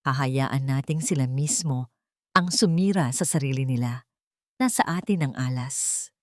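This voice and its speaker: A woman narrator voicing a tender, protective man in a romantic audio drama, speaking in a soft, silky murmur. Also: a bass voice, measured pace, no room sound, clean studio sound, pitched below the performer's own voice. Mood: tender, assured. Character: tender, protective man, calmly plotting as confidant.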